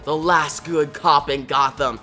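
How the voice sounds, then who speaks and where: A man's voice: a little high, fairly even intonation, measured pace, pushed and bright, faint music behind. Man voicing a defiant, vengeful woman rebel leader.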